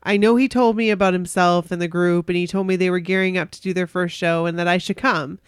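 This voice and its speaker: A woman's voice: fairly low pitch, fast, clean tone, dry recording, studio quality. A woman co-hosting a podcast, casually chatting and reading stories aloud in a giggly, gushing way. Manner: giggly, gushing, reacts excitedly. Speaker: podcast co-host.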